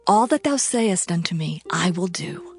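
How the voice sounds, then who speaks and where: A woman's voice: fairly low pitch, expressive, a little slow, faint music behind. A woman playing a humble, devoted young widow in a dramatized Bible, speaking meek and reverent archaic lines. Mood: meek, obedient. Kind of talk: dramatized King James Bible reading.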